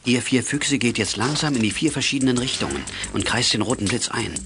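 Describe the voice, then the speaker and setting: An actor playing a calm, wry middle-aged father who takes command as a CB radio veteran in a children's audio drama. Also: medium pitch, brisk, close to an everyday voice. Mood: brisk, commanding. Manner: commanding, dryly humorous. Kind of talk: 1980s German children's audio drama acting.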